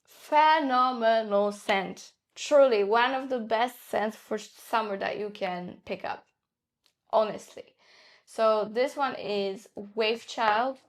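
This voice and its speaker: Slow-talking Polish woman reviewing perfumes. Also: on the high side. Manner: chatty, enthusiastic, many fillers. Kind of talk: casual fragrance review.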